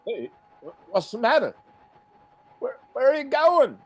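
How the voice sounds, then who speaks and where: Slow, wildly swooping fanatical soldier acted by a man. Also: very high-pitched, very animated, slightly rough, exaggerated voice. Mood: anxious. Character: fanatical artilleryman survivor dreaming of ruling the world. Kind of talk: radio play reading.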